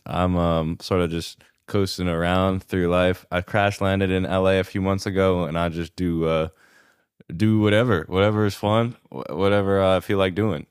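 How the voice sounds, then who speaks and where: A young man in his twenties with a very low, smooth, resonant voice, talking as a guest in a laid-back, rambling manner. He is recorded close and dry, with no room sound. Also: steady tone, moderate pace, no room sound. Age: 20s. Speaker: podcast guest. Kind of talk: casual chat.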